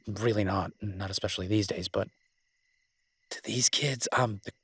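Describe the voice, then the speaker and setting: Male actor as smitten werewolf man, low husky voice, tender, teasing, rambling, self-deprecating, intimate romance audio roleplay. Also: fairly even intonation, normal speed, fairly bright tone, no echo, full character voice. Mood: playful, self-deprecating.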